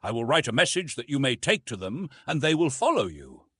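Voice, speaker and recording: Wounded officer in an audiobook adventure read by a man, earnest and anxious, lively pitch, slightly rough voice. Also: brisk, no echo, studio quality, full character voice.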